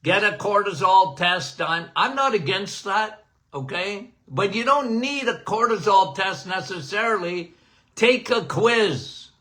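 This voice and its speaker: An older man, a doctor hosting a podcast, giving a casual and emphatic health talk, punctuated by "okay?" and self-described as loud-voiced. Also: high-pitched, measured pace. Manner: animated, emphatic, repeats "okay?"; says he has a loud voice.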